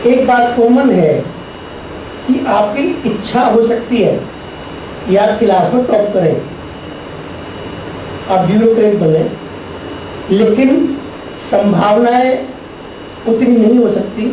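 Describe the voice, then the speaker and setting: Slow-talking man lecturing in echoey hall. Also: high voice. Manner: slow, deliberate teaching with rhetorical questions and repeated phrases. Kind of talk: spiritual lecture to a class. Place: large echoey classroom. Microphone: distant room mic. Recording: very echoey, distant, noisy room recording.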